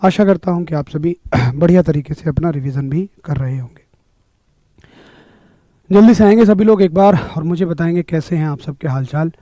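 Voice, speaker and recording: A hoarse man leads a live exam-prep class, clearing his throat often. His voice sits fairly high for a man but sounds mellow and dark. The sound is dry and close, with no room echo. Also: moderate pace. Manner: greets viewers by name, upbeat coaching. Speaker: online exam-prep teacher. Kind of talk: live-streamed physics class.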